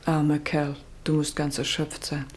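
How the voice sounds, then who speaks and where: An actress in a German radio play, playing a patient, mothering lover who gently admonishes. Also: low voice, completely flat delivery, moderate pace, close to an everyday voice. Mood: tender, concerned. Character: caring, patient woman, mothering lover of a troubled writer.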